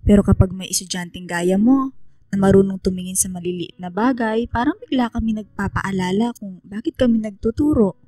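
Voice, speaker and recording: An actress playing a kind, motherly female schoolteacher in a radio drama, speaking gently and affectionately. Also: mid-range voice, brisk, dry recording, natural voice. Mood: touched, grateful. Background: music under the voice.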